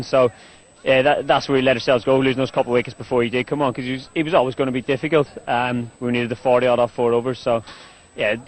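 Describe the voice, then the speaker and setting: A man, a team captain answering post-match questions in a casual, halting way full of fillers and restarts. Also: medium pitch, poor audio.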